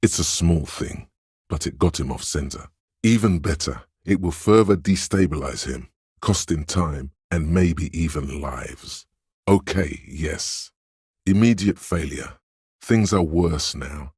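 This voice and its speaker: An actor voicing a sly, coaxing inner voice of a detective's mind in a video game, deliberate and theatrical, with a gravelly, husky tone. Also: male voice, very deep voice, a little mellow, dry recording, studio quality, exaggerated voice. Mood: cautioning, calculating. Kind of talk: scripted game voice-over narration.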